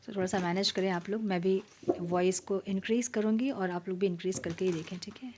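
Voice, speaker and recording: A woman hosting a tarot reading, slightly hoarse, talking casually and dropping to near-whispers at times. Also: medium pitch, steady tone, fairly quick, slight background noise. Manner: soft, mixing Hindi and English, drops to near-whispers while shuffling. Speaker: tarot reader.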